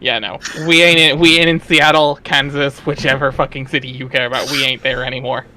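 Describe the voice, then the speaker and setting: A man's voice: mid-range voice, fairly even intonation, brisk, sharp tone, a little hiss or hum. A man chatting casually and slangily, with some swearing, as co-reader and commentator during a group reading.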